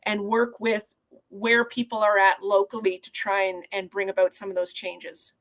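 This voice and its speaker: A woman's voice: medium pitch, level tone, normal speed, fairly bright tone. Earnest woman explaining on a video call. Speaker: guest physician. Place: small indoor office. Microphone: video call webcam.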